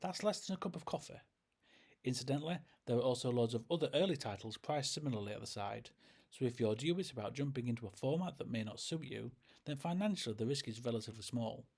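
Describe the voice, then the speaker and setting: A man hosting a scripted review, speaking in a measured, explanatory way. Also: medium pitch, lively intonation, darkish tone, breathy edge. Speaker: host and reviewer. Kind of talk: scripted narration, audio drama review.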